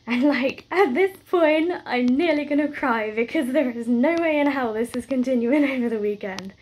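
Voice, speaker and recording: A woman's voice: high voice, moderate pace, a little mellow. Stressed, near-tearful British woman, chatty. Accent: British English. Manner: rambling, chatty, with many fillers and run-on stories. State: stressed and close to tears. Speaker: vlogger. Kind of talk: casual chat to camera. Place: at home, indoors.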